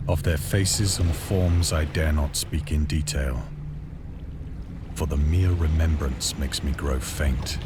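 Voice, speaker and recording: A man playing a haunted, awestruck survivor, narrating an old-fashioned horror tale in a grave, formal, deliberate manner. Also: low-pitched, very noisy. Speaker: narrator of a horror visual novel. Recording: loud sea and boat ambience behind.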